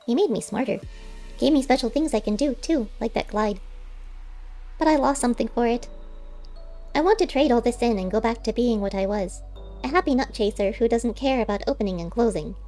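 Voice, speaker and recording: A woman's voice: a little high, brisk, warm tone, faint music behind, pitched above the performer's own voice, close to an everyday voice. A woman voicing a wistful, plain-spoken male squirrel with unusual cleverness, striking bargains in an audiobook story. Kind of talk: audiobook character voice.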